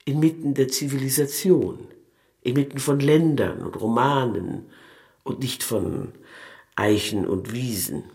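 A man with a mid-pitched, husky voice reads scripted literary narration. The tone has some rasp and sits in an ordinary male register. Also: steady tone, a little slow, a little mellow. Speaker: narrator reading literary prose.

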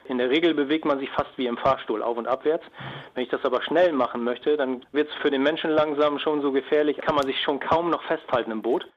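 A man's voice: mid-range voice, a little fast. A man explaining things as an expert guest in a phone interview. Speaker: expert guest, submarine engineer and former pilot. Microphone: telephone. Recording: dull band-limited phone line.

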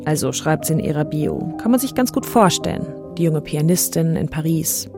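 Female podcast host, on the lower side, conversational scripted storytelling with prominent music underneath. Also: lively intonation, normal speed. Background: background music.

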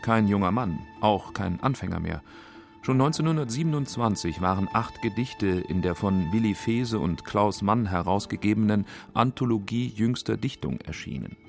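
A man narrating a scripted radio feature in a factual, measured manner. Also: lowish voice, expressive, creaky, faint music behind. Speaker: documentary narrator.

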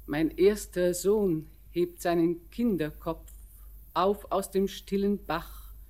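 An actress playing an old blind grandmother in a classic radio play, speaking measured verse. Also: lowish voice, darkish tone. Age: older. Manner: slow, measured verse speech.

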